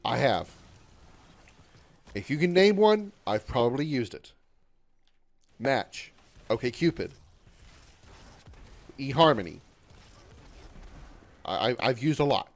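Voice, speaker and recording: A man in his mid-thirties streams a game and talks casually to his viewers in a voice of ordinary, middle pitch. He sounds glum and runs himself down, circling back over the same points. He trips over his words again and again. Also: big pitch swings, takes it slowly, gravelly, long pauses. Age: 30s. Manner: rambling and repetitive, stumbles over words; glum and self-deprecating. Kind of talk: casual livestream chat with viewers.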